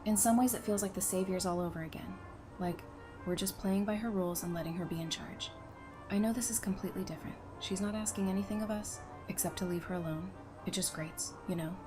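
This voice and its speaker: A woman's voice: mid-range voice, quick pace, over music, lightly acted. A woman playing a stubborn, affectionate young mother and wife in an audio drama, casual and wry. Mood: uneasy. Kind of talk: fan audio drama acting.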